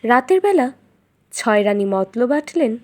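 High-voiced woman narrating a fairy tale. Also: very animated, measured pace, darkish tone.

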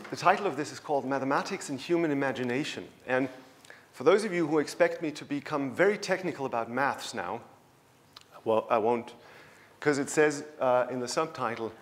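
Man giving a lecture in a hall. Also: mid-range voice. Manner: conversational, storytelling, with fillers. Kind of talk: public science lecture. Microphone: handheld microphone.

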